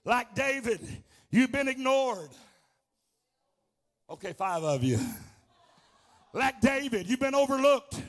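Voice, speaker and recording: A man preaching a fervent charismatic sermon, declaiming in repetitive rhythmic phrases with a strained, gravelly delivery. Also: very high-pitched, lively intonation, slow, long pauses.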